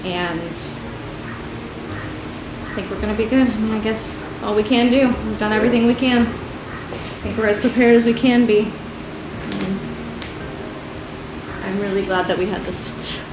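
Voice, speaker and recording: A woman's voice: medium pitch, normal speed, long pauses. A young adult woman talking casually to camera as a farm vlogger, explaining things in a plain, informal way. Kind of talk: casual vlog talk. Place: large garage, kitchen, outdoors in a yard. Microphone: camera mic, arm's length or farther. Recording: echoey garage and rooms, background noise.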